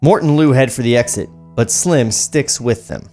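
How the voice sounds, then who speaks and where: A man's voice: medium pitch, moderate pace, a little mellow. A man reading screenplay stage directions at a podcast table read, with joking asides. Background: background music.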